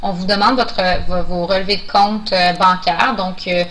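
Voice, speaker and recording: A woman's voice: mid-range voice, fairly even intonation, normal speed, fairly bright tone, rough recording. A woman answering questions in a webinar as an immigration officer, explaining procedures in a hesitant, informal way.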